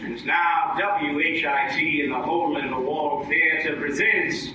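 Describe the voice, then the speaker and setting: A man's voice: on the high side, fairly even intonation, a little slow, natural voice. Man as a radio announcer over music, echoey. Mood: dramatic. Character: male old-time radio announcer narrating a Christmas tale. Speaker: announcer and narrator. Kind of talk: 1940s radio announcing, theatrical narration. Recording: echoey hall, far from mic.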